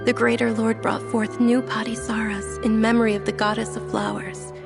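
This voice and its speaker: An actress playing a composed, solemn woman recounting lore in an anime video game in a measured manner. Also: a little high, little pitch movement, creaky. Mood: solemn, wistful. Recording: music behind the voice.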